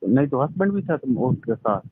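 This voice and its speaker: Man, casual questioner on a recorded phone call; narrow, tinny audio, medium pitch. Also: big pitch swings, brisk, breathy edge, no room sound. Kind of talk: casual phone chat, asking probing questions. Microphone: telephone line.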